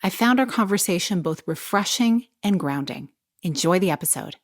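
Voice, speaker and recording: A woman's voice: lowish voice, animated, moderate pace, no echo, studio quality. A woman hosting a podcast and working as a health coach, reading a polished, upbeat intro before the interview. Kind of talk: scripted intro then interview.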